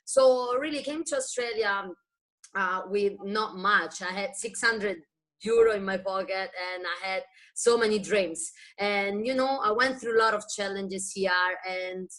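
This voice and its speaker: A woman's voice: mid-range voice, a little slow, a bit bright. A woman from Italy with an Italian accent, telling her story as a guest on a video call, eager and a little broken in her English. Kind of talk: personal success story.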